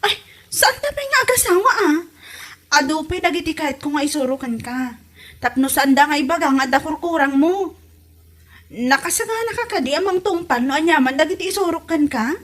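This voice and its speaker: A woman playing a kind, patient young village woman with an Ilocano accent in a comedy drama, gentle in her delivery. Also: high-pitched, expressive, fairly quick. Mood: coy, sincere.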